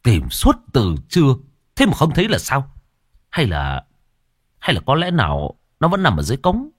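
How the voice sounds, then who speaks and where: A man's voice: medium pitch, huge pitch swings, moderate pace, no room sound, clean studio sound, voice pushed up. A man voicing a weary, exasperated village searcher who grumbles and curses in an audio story.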